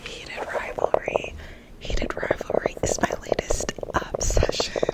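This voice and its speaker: A young woman whispering an ASMR ramble, recovering from a cold, chatty and full of "like" and "um". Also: slow. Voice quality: soft unvoiced whisper. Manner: rambling, lots of "like" and "um" fillers. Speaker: ASMR creator.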